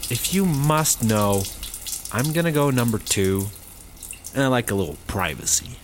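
A man's voice: medium pitch, animated, a little slow, slight background noise. A man acting an uptight, practical, anxious straight man in a sci-fi comedy audio drama. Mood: uneasy, irritated. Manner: wary, exasperated straight man. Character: uptight, anxious, practical male partner prone to paranoia.

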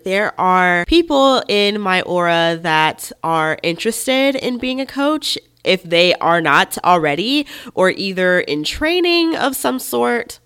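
A woman hosting a podcast in a chatty, informal, expressive way, sounding a little nasal and congested from a cold. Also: fairly low pitch, clear, resonant tone. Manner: informal and expressive, often checks in with "right?". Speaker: podcast host and life coach. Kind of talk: chatty solo podcast talk.

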